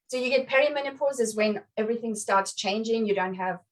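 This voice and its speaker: A middle-aged woman speaks in a South African accent with a slight Australian influence. Her voice is on the high side and sits a little away from the mic. She explains things informally, as a guest on an online call. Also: brisk, recorded from a distance. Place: online live video call.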